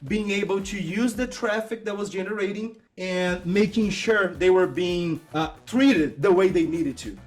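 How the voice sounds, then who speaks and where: High-voiced man hosting. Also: unhurried, hardly any pauses, a little hiss or hum. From Brazil. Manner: upbeat, technical, explanatory. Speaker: host. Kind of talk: casual presentation.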